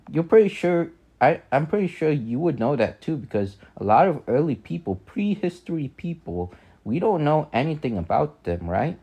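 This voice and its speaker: A man's voice: a little high, mellow, husky. A man with a Vietnamese accent, whose first language is Vietnamese, chatting casually on a live stream, thinking aloud with many fillers and restarts. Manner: thinking aloud while working.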